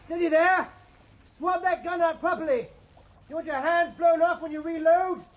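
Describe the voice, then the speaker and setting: Keen high-voiced British naval officer. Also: male voice, steady tone. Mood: shouting orders. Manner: brisk, eager, authoritative. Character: keen, alert young male naval gunnery officer. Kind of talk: 1950s radio drama acting. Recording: muffled, faintly noisy vintage radio recording.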